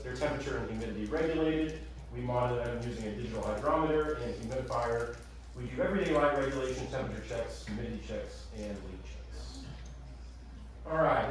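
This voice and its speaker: A man giving a lively museum talk with slides to an audience, chatty and energetic. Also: mid-range voice. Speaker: museum staff presenter. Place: meeting room with audience. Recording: echoey room, far from mic.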